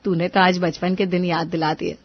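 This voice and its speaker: A woman's voice: fairly low pitch, flat delivery, normal speed, husky, no room sound. Woman as a plaintive young wife, slightly muffled. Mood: chatty. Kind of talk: Hindi moral-story cartoon dubbing.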